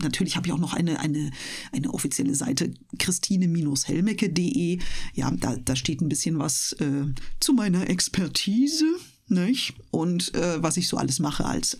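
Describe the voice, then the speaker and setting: A woman with a slightly husky voice, chatting casually as an interview guest. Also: on the low side, a little mellow. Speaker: interview guest, audiobook narrator and speaker. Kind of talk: casual chat.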